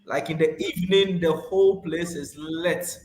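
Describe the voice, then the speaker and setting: A man's voice: on the high side, fairly even intonation, normal speed, reverberant, background noise. A man with a foreign accent chatting eagerly about a trip, piling on fillers and restarts. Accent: foreign accent, not local to the island. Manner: gushing, many fillers and restarts. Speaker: guest describing a trip. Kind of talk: casual enthusiastic chat.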